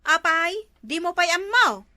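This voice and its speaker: A woman's voice: very high voice, animated, a little slow, sharp tone, no echo, heavily acted. A woman with an Ilocano accent acting a gossipy, meddling older sister figure in a comedy drama. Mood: urgent gossip.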